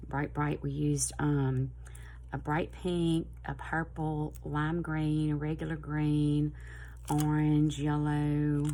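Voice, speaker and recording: Southern woman, middle-aged, low gravelly voice, slightly hoarse, rambling chatty vlog talk. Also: mellow, a little hiss or hum. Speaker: planner vlogger. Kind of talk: casual chat to camera.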